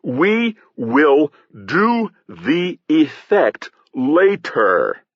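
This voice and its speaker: A man's voice: fairly low pitch, sing-song, moderate pace, husky, no room sound, highs cut off, full character voice. A man voicing a bossy, irritable satirist who directs his actors, curt, scolding and bellowing, in an audiobook. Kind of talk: audiobook character acting.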